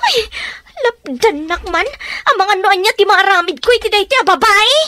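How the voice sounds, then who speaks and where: A woman's voice: extremely high pitch, lively intonation, normal speed, bright, forceful tone, exaggerated voice. A woman playing a spoiled young student daughter, whiny and melodramatic, in an Ilocano radio drama. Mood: indignant.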